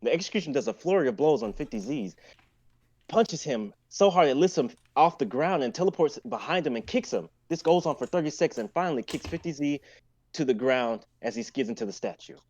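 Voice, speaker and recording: A man's voice: on the high side, lively intonation, brisk, darkish tone, dull audio. A young man narrating stage directions in a live amateur script reading. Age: young adult.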